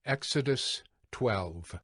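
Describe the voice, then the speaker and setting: A man narrating scripture from a script in a formal, measured way. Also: medium pitch, expressive, fairly bright tone, husky, no room sound, studio quality. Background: faint music.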